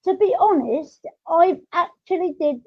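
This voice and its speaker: A young woman chatting casually as a podcast host, chatty and quick to agree. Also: very high voice, lively intonation. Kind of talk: casual chat. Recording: dull, lo-fi audio.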